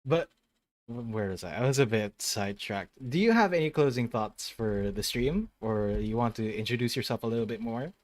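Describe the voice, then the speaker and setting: A man hosting a casual gaming stream, speaking at an ordinary middle pitch. He rambles through his thoughts and corrects himself mid-sentence. He laughs easily along the way. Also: lively intonation, normal speed, dry recording. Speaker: host of a gaming channel.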